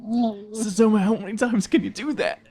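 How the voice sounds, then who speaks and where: Higher-voiced man bantering at game table. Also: steady tone, moderate pace, darkish tone, husky. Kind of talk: casual banter, in-game roleplay.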